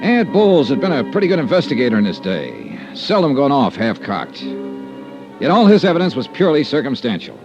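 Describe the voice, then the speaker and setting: An actor playing a skeptical, wry private investigator in a 1950s radio detective drama, with a dry, hard-boiled delivery. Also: male voice, medium pitch, wildly swooping pitch, brisk. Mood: brooding narration. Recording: dull old radio recording, music.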